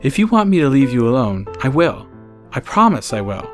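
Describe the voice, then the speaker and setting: A man acting an earnest, soft-spoken teenage boy, expressively swooping. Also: medium pitch, very animated, normal speed, soft background music, natural voice. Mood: earnest, pleading. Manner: soft, sincere, pleading. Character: earnest, frustrated teenage schoolboy, gentle but persistent. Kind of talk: audiobook character acting.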